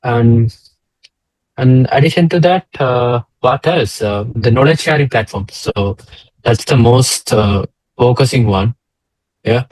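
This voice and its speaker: Young man, from Sri Lanka, video call, dry sound, middle pitch, hesitant. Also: slow, a little mellow, no room sound, low-quality recording. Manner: hesitant, many fillers and restarts. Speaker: co-host, data engineer. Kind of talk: casual presentation chat.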